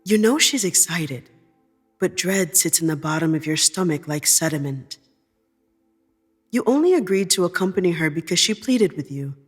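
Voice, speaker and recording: Woman narrating fiction over music, measured. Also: lowish voice, expressive, slightly rough. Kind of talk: scripted second-person fiction narration.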